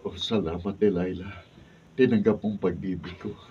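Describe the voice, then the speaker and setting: An actor playing a bold, blunt, protective suitor in a Filipino radio drama. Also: male voice, deep voice, steady tone, normal speed, over music, natural voice. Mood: earnest. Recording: dull distant mic, faint room noise.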